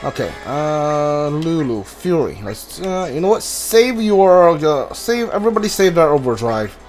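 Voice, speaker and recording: A gamer giving casual playthrough commentary, thinking aloud in halting fragments over a game. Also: medium pitch, very animated, darkish tone. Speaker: gamer, streamer. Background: game music.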